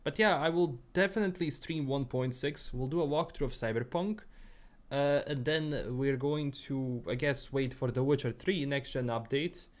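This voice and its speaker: A young man with a Bosnian accent talks loosely on a live stream, his thoughts wandering with frequent fillers and restarts. His pitch sits in an ordinary middle range. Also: normal speed. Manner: rambling, many fillers and restarts. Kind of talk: casual livestream chat, reading chat.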